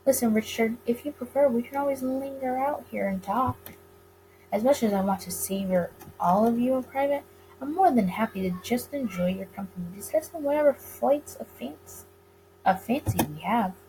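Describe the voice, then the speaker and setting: A woman's voice: a little high, normal speed, background noise, close to an everyday voice. Woman playing a sly, suave speakeasy boss. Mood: flirtatious, teasing. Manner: smooth, courtly, teasing, wordy.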